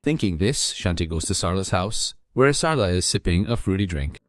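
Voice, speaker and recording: A man's voice: low-pitched, expressive, moderate pace. A man reading scripted narration for a story as the storyteller.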